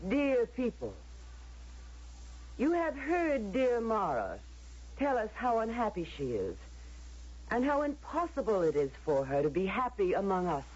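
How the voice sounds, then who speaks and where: An actress playing a stern, ceremonious council leader in a radio drama, speaking in formal, measured pronouncements with calm mercilessness. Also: female voice, medium pitch, breathy edge. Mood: solemn, merciless. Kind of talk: old-time radio drama acting. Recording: dull lo-fi radio drama recording.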